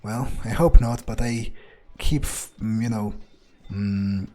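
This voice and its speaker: Man playing a mellow, hesitant young fledgling vampire. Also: on the low side, slow. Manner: hesitant, tentative questioning. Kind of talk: in-character video game roleplay.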